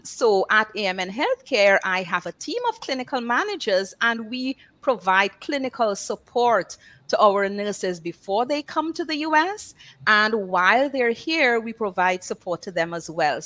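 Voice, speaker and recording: A middle-aged woman with a Trinidadian accent and a bright, forceful voice speaks on a panel. She talks in an easy, conversational way and often says "you know". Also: mid-range voice. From Trinidad and Tobago. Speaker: nursing services director. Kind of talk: panel discussion.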